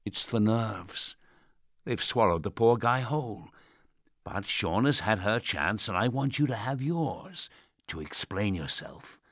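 A man acting a manipulative radio host in a dark audio drama, falsely kind, theatrical and coaxing, with a husky, gravelly voice. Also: fairly low pitch, lively intonation, unhurried, no room sound, clean studio sound. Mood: showman solemnity. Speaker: radio presenter.

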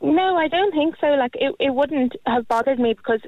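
A woman's voice: high voice, lively intonation, moderate pace, no room sound. A young woman, talking hesitantly with lots of restarts. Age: 20s. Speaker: guest. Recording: tinny phone line.

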